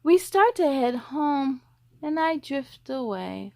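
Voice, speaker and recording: Female children's read-aloud host, very high voice, animated sing-song delivery, speaks directly to kids. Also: slow pace, gravelly. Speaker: host of a children's story time show.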